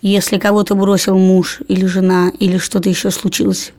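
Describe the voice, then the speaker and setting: A woman's voice: mid-range voice, level tone, a little fast, darkish tone. A woman co-hosting an advice podcast, talking in a thoughtful, frank way. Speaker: podcast co-host. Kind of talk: casual discussion.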